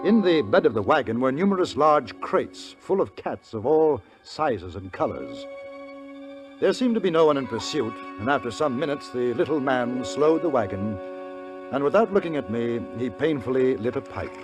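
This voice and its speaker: Polite, expressive English gentleman played by a man. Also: medium pitch, normal speed, over music, lightly acted. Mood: wry, composed. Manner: formal, reserved, hesitant when puzzled. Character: polite, bemused English newspaperman travelling the West. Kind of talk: 1950s radio Western acting and narration.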